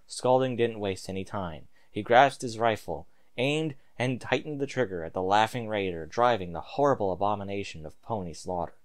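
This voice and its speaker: A man's voice: fairly low pitch, moderate pace, hardly any pauses. A man reading a story aloud in a theatrical, emphatic amateur narrating style.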